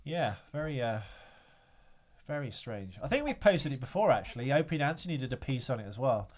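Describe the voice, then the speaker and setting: A man's voice: medium pitch, sing-song, fairly quick, a little mellow. Young man, podcast co-host, rambling casual talk, crude jokes, mid-range voice. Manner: rambling, candid, crude humor. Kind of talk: casual chat.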